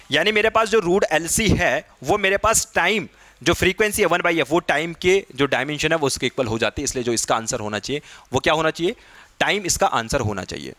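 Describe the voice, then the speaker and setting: Higher-voiced man teaching, dry sound. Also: a bit bright, dry recording. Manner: checks in often with short tag questions; mixes Hindi with English technical terms. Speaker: physics teacher for exam preparation. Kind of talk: exam-prep lesson, explaining questions step by step.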